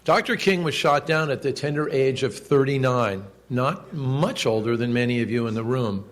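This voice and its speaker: A man in his sixties giving a formal commemorative speech, measured, with a loud projecting voice and quotes read with emphasis. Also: mid-range voice. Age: 60s. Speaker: school official giving a speech. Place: indoor event hall.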